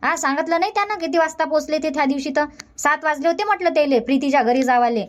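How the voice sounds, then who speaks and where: A woman's voice: high voice, fast, lightly acted. A woman voicing a middle-aged village aunt with a Vidarbha Marathi accent, who lectures and scolds in long run-on stretches. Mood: scolding.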